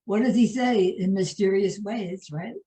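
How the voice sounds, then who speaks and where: A woman's voice: medium pitch, measured pace, dark tone. A woman talking casually as she takes part in a spiritual discussion group.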